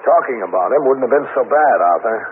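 An actor playing a calm, businesslike police captain who speaks and narrates with matter-of-fact authority in a 1950s radio drama. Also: male voice, mid-range voice, a little fast, natural voice. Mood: matter-of-fact, firm. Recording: scratchy, narrow-band 1950s radio recording.

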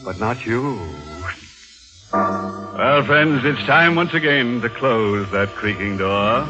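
An actor playing a ghoulish, tongue-in-cheek horror host with gleefully macabre, drawn-out patter on 1940s radio. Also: male voice, medium pitch, expressive. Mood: macabre glee. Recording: muffled vintage radio recording with music.

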